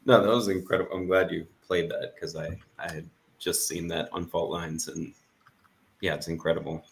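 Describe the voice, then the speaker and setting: A man's voice: low-pitched, fairly even intonation, moderate pace, gravelly. A man chatting casually as a guest on a live show. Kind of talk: casual chat.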